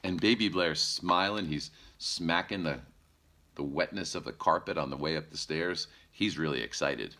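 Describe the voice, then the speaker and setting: A man's voice: very deep voice, normal speed, pushed and bright. A man reading a children's story aloud playfully, with asides to young listeners.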